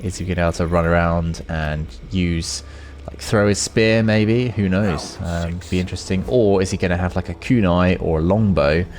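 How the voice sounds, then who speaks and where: A man's voice: low voice, steady tone, normal speed, hardly any pauses, a little mellow, slight background noise. A man hosting a gaming video, giving casual, unscripted commentary and musing aloud as he goes. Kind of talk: casual off-the-cuff gameplay commentary.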